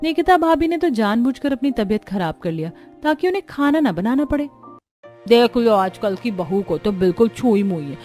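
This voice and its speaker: A woman's voice: fairly high pitch, big pitch swings, normal speed, a little mellow, soft background music. Woman playing a spiteful young sister-in-law. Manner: sneering, complaining.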